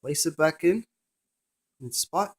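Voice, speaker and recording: A man's voice: on the high side, unhurried. A man presenting a casual hands-on gadget demonstration, narrating each step in short fragments.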